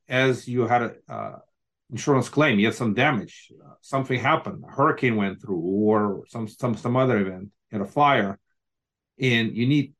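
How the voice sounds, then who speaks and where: A man with a medium voice hosts a podcast interview. He is from Moldova and talks in a halting way, repeating words as he frames his questions. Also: mid-range voice, fairly bright tone. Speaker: podcast host. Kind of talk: interview hosting.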